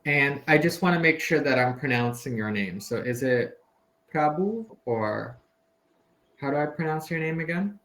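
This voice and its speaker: A man's voice: on the high side, lively intonation, moderate pace, a bit bright. A man from the tutoring English in a casual conversation lesson over a video call, friendly and hesitant, with many fillers and restarts. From United States.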